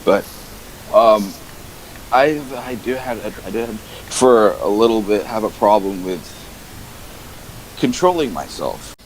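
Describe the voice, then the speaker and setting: A young man in halting, defensive conversation, repeating himself and trailing off, on an archived voice call. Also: lowish voice, animated, slight background noise, rough recording.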